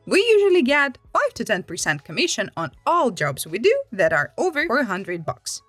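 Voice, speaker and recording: Animated high-voiced woman reading stories. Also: moderate pace, no room sound. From Finland. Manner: dramatic, expressive. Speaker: storytelling channel host. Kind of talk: animated read-aloud storytelling. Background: background music.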